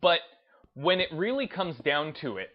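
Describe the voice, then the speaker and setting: A man's voice: on the high side, very animated, normal speed, dull audio. A man giving unscripted opinion commentary in a hesitant, rambling way, often restarting his phrases. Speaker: host of a gaming video channel.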